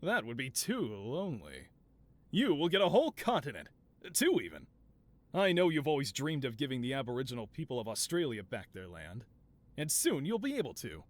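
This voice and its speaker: A man's voice: mid-range voice, wildly swooping pitch, moderate pace. A male voice actor playing an affable supervillain scientist boss in a scripted audio roleplay, talking in a doting, theatrical way. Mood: grandiose, playful. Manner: doting, theatrical, softly reassuring then grandiose. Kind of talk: scripted audio roleplay, one-sided conversation.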